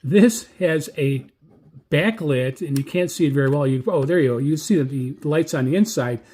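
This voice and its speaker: Higher-pitched man giving a casual product review. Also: normal speed, a little mellow. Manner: rambling, conversational. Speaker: radio reviewer.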